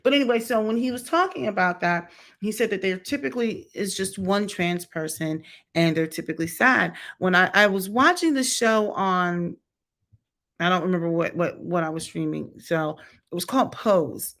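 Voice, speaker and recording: A woman's voice: lowish voice, normal speed, fairly bright tone. Blunt chatty middle-aged woman. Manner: chatty, candid, blunt, with mocking asides. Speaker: vlogger reviewing a TV show. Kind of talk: casual opinion commentary.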